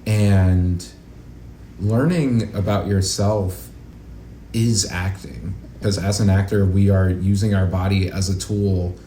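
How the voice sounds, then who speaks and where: A man's voice: lowish voice, mellow. A man speaking as an interview guest in casual conversation, earnest and reflective, often saying "like". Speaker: guest, actor and acting educator. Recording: roomy echo, light background noise.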